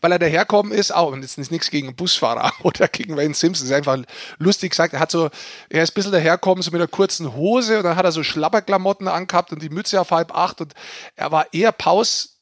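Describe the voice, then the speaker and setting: A man's voice: on the high side, quick pace, no room sound, clean studio sound. A man chatting casually about sport in a colloquial, jokey way as a podcast co-host.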